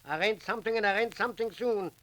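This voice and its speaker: A grumbling, exasperated immigrant husband in a 1940s radio comedy-drama. His voice is forceful and sharp, with a New York Yiddish accent, and he blusters in curt, emphatic bursts. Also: high-pitched, quick pace. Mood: exasperated, scolding.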